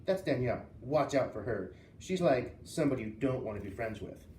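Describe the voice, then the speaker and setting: A man acts out a catty preteen girl from a children's storybook, full of sassy slang. The pitch jumps around with gossipy, bossy energy. The sound is roomy and a little far from the mic. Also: lively intonation, brisk, a little mellow, natural voice. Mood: catty, confiding. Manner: valley-girl slang, gum-snapping, sassy. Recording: roomy, distant mic, faint noise.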